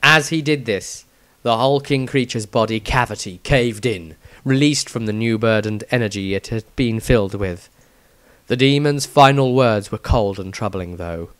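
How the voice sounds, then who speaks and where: A man's voice: on the low side, normal speed, a bit bright, smooth, dry recording. A man reading a fantasy story as a scripted narration, with a theatrical, emphatic delivery. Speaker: author reading his own story.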